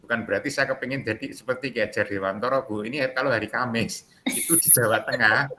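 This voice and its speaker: A man's voice: mid-range voice, steady tone, fast, sharp tone, a little hiss or hum. A man with a Javanese accent, facilitating an online class on a video call, chatty and joking with a chuckle. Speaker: course facilitator.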